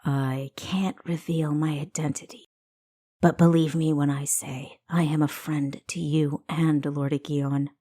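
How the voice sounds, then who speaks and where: A woman voicing a guarded, earnest female spy in an audiobook, with a deep, hushed, measured delivery. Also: little pitch movement, dry recording, studio quality. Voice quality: deep feminine voice.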